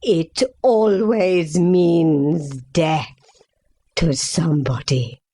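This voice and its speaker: A woman playing a gloomy, solemn mother in a comic parody play, with a portentous, drawn-out melodramatic delivery. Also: sits low, warm tone, exaggerated voice. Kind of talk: comic stage-play acting.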